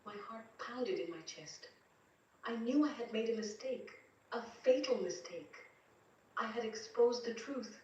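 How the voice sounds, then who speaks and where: A woman voicing a terrified, grieving wife, telling her story in slow, dramatic first-person narration. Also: medium pitch, lo-fi sound, lightly acted. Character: terrified, grieving young wife recounting abuse from beyond death. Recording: distant, very echoey, faint noise.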